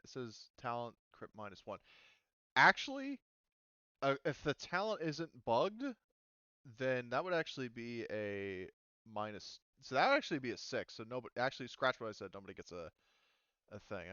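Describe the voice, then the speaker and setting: A man with a smooth, lowish voice narrates a tabletop game as game master. He talks casually and works through the rules out loud, often halting. The sound is dry and slightly muffled. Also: dry recording. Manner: thinks aloud, halting while checking rules. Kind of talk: casual running of an online tabletop roleplaying game.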